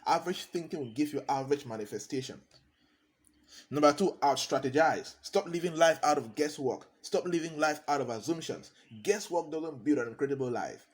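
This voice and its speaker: A man's voice: fairly high pitch, brisk. A man, a consultant giving a motivational talk, hammering points home by repeating key phrases. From Cameroon.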